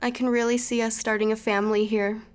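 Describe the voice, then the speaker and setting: A woman's voice: a little high, little pitch movement, fast, smooth, close to an everyday voice. A young woman playing a cheerful, affectionate newlywed in an audio drama. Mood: eager, affectionate. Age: young adult. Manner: eager, affectionate. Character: cheerful, smitten newlywed woman house-hunting.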